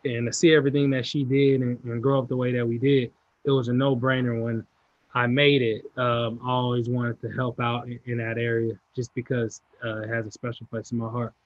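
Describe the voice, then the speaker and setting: A young man from California, a football player answering interview questions in a relaxed, laid-back way. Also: medium pitch, a little fast, no room sound, phone-quality sound. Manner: relaxed, laid-back, repeats short agreements.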